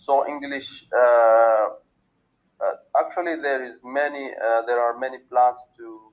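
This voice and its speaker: A man in his thirties, an Arabic speaker, answers academic interview questions in English. He talks haltingly, often restarting his sentences and filling gaps with fillers, in a voice of ordinary pitch. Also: fairly even intonation, soft background music, poor audio. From Sudan. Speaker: PhD student interviewee.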